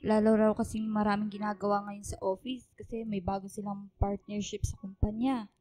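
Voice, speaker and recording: Woman playing caring, mellow household confidante. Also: medium pitch, level tone, brisk, no echo, lightly acted. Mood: matter-of-fact concern. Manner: motherly, reassuring. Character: caring, consoling female household elder. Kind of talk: radio drama dialogue.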